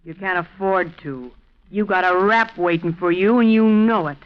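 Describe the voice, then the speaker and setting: A woman's voice: fairly low pitch, normal speed, close to an everyday voice. An American actress playing a tough, slangy, cornered female killer in a 1940s radio thriller. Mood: bitterly defiant. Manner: hard-boiled, slangy. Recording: muffled 1940s radio recording.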